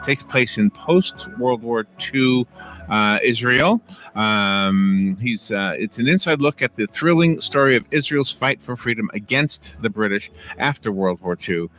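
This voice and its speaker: Male radio host, even pitch, stumbling questions. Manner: stumbling, restarts often. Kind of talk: radio interview.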